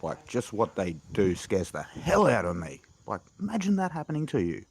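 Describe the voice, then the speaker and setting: A man chatting on a podcast in a lively, animated way. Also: fairly low pitch, normal speed, gravelly, slightly rough, no echo. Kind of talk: casual chat.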